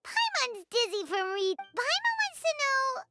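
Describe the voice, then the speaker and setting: A woman voicing a tiny childlike fairy companion in a video game, perky and easily flustered, with a squeaky voice. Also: very animated, measured pace, over music, exaggerated voice. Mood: chatty, puzzled. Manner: speaks of self in third person, exclaims.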